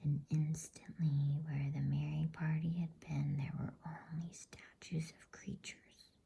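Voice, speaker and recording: A woman reading a bedtime storybook aloud in a soothing, unhurried ASMR whisper. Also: sits low, level tone, vocal fry, dark tone, breathy edge.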